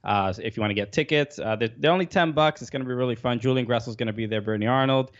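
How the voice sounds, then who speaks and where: A man's voice: mid-range voice, very fast, muffled recording. A man guesting on a podcast, speaking hesitantly with many fillers. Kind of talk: casual podcast chat.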